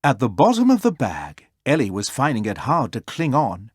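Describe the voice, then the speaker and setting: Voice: medium pitch, wildly swooping pitch, normal speed, slightly rough, no echo. A storyteller reading a children's story aloud as scripted narration.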